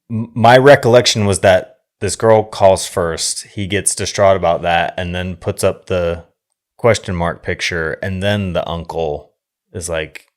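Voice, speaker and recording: A man co-hosting a podcast in casual conversation about films. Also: low-pitched. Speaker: podcast co-host discussing films. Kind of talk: casual film discussion.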